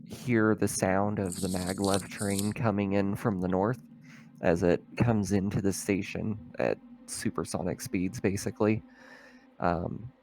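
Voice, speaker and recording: A man's voice: lowish voice, a little mellow. A man narrating a tabletop roleplaying game as game master, explaining scenes in a casual, hesitant way.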